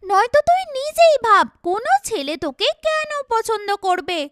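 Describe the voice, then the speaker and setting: A woman's voice: very high voice, big pitch swings, moderate pace, dry recording. A woman voicing a spiteful young sister in a cartoon, taunting and scornful in a dramatic way. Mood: sly belittling. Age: young adult. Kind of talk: animated moral-story cartoon acting.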